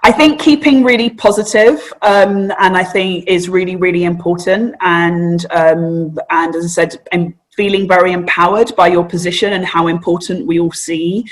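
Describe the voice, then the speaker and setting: A woman panelist on a webinar, encouraging and a little rambling, with many pauses and "um"s. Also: lowish voice, fairly even intonation.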